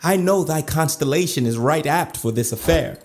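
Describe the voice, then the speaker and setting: An actor playing a lovesick, grandiose duke in a classical audio drama, with languid declamatory verse. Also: male voice, fairly high pitch, lively intonation, normal speed, darkish tone. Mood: eager, impassioned. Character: lovesick, grandiose, self-indulgent male duke.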